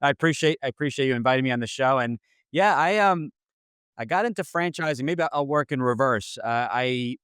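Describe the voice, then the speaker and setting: A man in his forties from New Jersey, a franchise consultant answering interview questions with many pauses and restarts. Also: medium pitch, a bit bright, clear, resonant tone, dry recording. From Central New Jersey. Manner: hesitant, many fillers and restarts.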